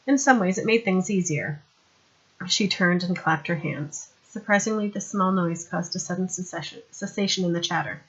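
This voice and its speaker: A woman's voice: lowish voice, a bit bright, muffled recording. A woman reading a novel aloud as scripted audiobook narration. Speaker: audiobook reader.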